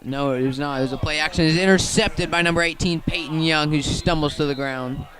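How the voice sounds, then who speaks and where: A man with an American accent giving live radio play-by-play of a football game, halting at times and correcting himself. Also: on the high side, a bit bright. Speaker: play-by-play commentator. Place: outdoors at a football field.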